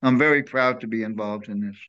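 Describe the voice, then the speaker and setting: A man's voice: on the low side, quick pace, pushed and bright, smooth. An older man chatting as a guest on a video call from his home, rambling and enthusiastic. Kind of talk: casual interview chat. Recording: dull lo-fi video call audio.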